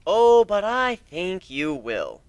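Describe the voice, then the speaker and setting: A man's voice: high voice, sing-song, slow pace, smooth, no echo, voice pushed up, full character voice. A man voicing a cocky, menacing male griffin warrior, taunting and sarcastic, in a dramatic fantasy story reading.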